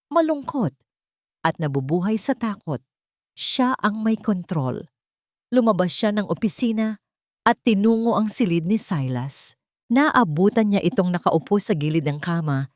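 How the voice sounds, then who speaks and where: A Filipino woman narrates a scripted romantic drama. Her voice sits on the low side for a woman, and the sound is dry, with hardly any room around it. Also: warm tone, no echo. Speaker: story narrator.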